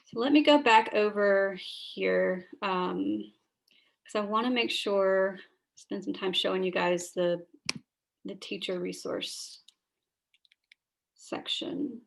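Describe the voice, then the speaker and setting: A woman's voice: mid-range voice, slow, creaky, pauses often. A woman with a tired, scratchy voice, chatting through a screen-share training on a video call, with many fillers and restarts. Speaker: school librarian leading teacher training.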